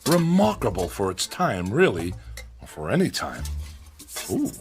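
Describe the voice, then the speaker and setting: A man's voice: lowish voice, wildly swooping pitch, unhurried, faint music behind. An actor playing a fussy, eccentric tech-minded man in a radio drama, speaking in a formal, pedantic, theatrical way. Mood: musing, fascinated. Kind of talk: comedic radio drama acting.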